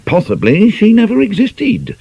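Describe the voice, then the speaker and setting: A man voicing a suave, insinuating gentleman in an audiobook, pausing pointedly as he slyly fishes for gossip. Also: high voice, wildly swooping pitch, gravelly, breathy edge, no room sound, muffled recording, pitched above the performer's own voice, heavily acted. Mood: slyly probing. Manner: suave, hesitant, deliberately pointed.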